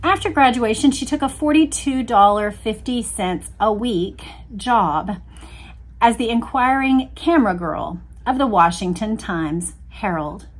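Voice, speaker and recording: A woman's voice: on the high side, expressive, unhurried. An American woman hosting a book readalong, reading history aloud and adding casual, conversational commentary. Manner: conversational, chatty asides.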